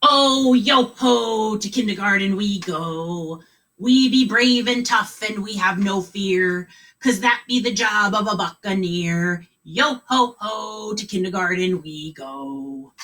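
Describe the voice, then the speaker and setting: A woman voicing a boastful, gruff male pirate bus driver who talks in pirate slang and growls "arg". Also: medium pitch, takes it slowly, fairly bright tone, recorded from a distance.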